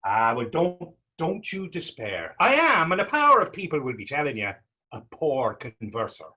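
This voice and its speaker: Man acting husky, teasing young Irish drifter. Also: a little high, huge pitch swings, moderate pace, sharp tone, heavily acted. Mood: wry teasing. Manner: teasing, lilting folksy phrasing. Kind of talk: stage readers theater dialogue.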